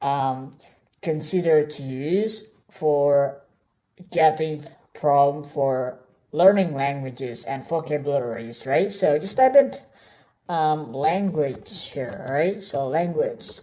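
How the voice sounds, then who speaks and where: Woman course tutor, Indonesian native speaker, low and mellow voice, unhurried, chatty with lots of "all right?" check-ins. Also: lively intonation. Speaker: online course instructor. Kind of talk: screen-share tutorial.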